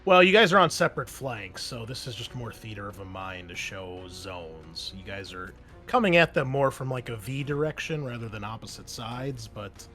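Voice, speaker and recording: A man's voice: medium pitch, expressive, moderate pace, few pauses, pushed and bright, faint music behind. A man narrating a tabletop roleplaying game as game master, in a casual, conversational way. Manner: casual, conversational, mild swearing.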